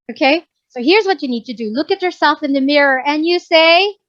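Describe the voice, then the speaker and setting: A woman's voice: high voice, animated, fairly quick, fairly bright tone, dry recording, dull audio. A woman trainer with a Filipino English accent, chatting casually as she teaches, mixing Tagalog and English, often checking that she's understood. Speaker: workplace communication trainer. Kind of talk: casual instructive training talk.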